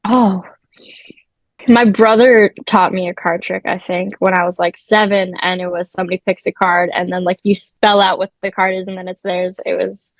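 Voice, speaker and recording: A woman's voice: mid-range voice, steady tone, moderate pace, dry recording. A nineteen-year-old woman, a magician chatting casually and upbeat as a guest over a remote call. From South Africa, raised in Vancouver. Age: late teens. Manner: chatty, upbeat, lots of fillers. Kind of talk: casual chat.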